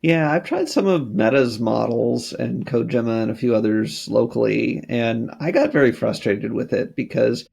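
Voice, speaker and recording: A man's voice: medium pitch, normal speed, vocal fry, few pauses, a little mellow, breathy edge. A man co-hosting a podcast panel, talking casually and thoughtfully about app testing, with loose, trailing sentences. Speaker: podcast co-host and app tester.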